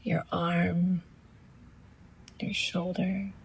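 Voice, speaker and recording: A woman yoga teacher leads a guided meditation in a hushed, very breathy voice close to a whisper. Her pitch sits a little low and stays very even, with some soft creak. She gives gentle, soothing instructions in short phrases with long pauses.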